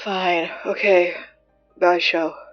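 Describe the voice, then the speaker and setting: An actress playing a sick, stubborn teenage high school girl, congested and hard to understand, in an audio drama. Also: level tone, takes it slowly, highs cut off, soft background music. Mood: sulky, resigned. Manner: insistent, defensive. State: sick with flu, coughing.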